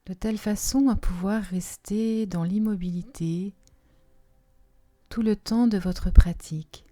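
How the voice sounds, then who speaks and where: A woman leading a guided relaxation meditation in a soft, soothing, unhurried manner with long pauses. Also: mid-range voice, steady tone. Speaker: yoga nidra relaxation guide. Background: soft music.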